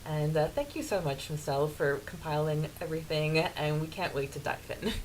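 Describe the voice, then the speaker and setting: A woman with a slightly low voice talks as a podcast co-host in casual fan discussion. Her manner is giggly and animated. There is faint hiss behind her. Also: a little fast, poor audio. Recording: hissy background noise.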